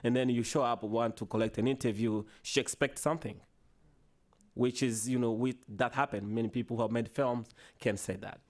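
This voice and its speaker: A man whose first language is Swahili gives a conference presentation with slides, in a halting way full of restarts and "you know" fillers. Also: mid-range voice, steady tone. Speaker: conference panelist. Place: conference hall.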